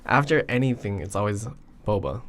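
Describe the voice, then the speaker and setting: Podcast host: young male, lowish pitch, informal and teasing, noticeable room noise. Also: lively intonation, brisk. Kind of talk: casual podcast chat.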